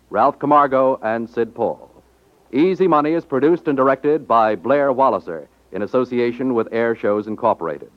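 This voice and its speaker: A man's voice: mid-range voice, dry recording. A man announcing from a script in 1950s network radio style. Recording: dull 1950s broadcast with music behind. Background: faint music.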